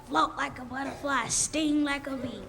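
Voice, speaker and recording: A woman's voice: high voice, fairly even intonation, moderate pace, sharp tone, heavily acted. A female performer playing a boastful male champion boxer in a scripted school radio show. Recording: clearly noisy amateur stage recording.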